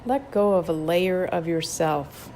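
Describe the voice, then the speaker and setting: A woman with a fairly low voice leads a guided meditation very slowly. Her delivery is gentle and measured, giving instructions step by step. There is some faint noise behind her.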